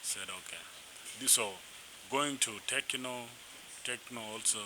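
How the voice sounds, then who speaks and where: A young man from South Sudan, a musician being interviewed, telling his story in a rambling, animated way with restarts. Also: medium pitch, unhurried. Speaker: musician guest. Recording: noisy background.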